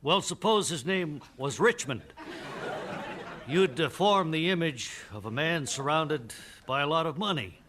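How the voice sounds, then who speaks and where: An earnest, self-assured memory expert, played by a man, explains haltingly in a deadpan radio comedy interview. The old recording is clearly noisy, with clicks. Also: on the high side.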